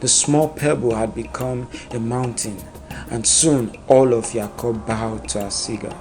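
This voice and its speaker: A man narrating a dark epic folktale in a solemn, dramatic storytelling manner. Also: medium pitch, steady tone, measured pace, music in the background.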